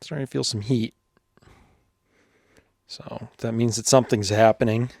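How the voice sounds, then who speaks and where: A man's voice: mid-range voice, fairly even intonation, moderate pace, creaky, a little mellow, no room sound. Man talking casually through hands-on repair, dry sound. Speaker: vintage radio repairer presenting his own video. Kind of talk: casual hands-on repair narration, thinking aloud.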